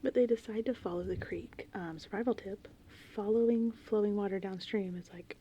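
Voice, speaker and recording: A woman speaking in a breathy, hushed whisper as an ASMR host, rambling informally through a film recap. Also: mid-range voice, moderate pace, muffled recording. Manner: informal, rambling, conversational retelling. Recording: faint background noise, rain and thunder ambience. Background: gentle rain and thunderstorm ambience.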